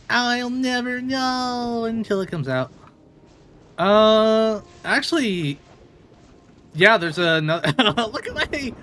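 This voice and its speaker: A man doing casual live gameplay commentary in a playful, expressive way. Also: on the high side. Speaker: game streamer. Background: music.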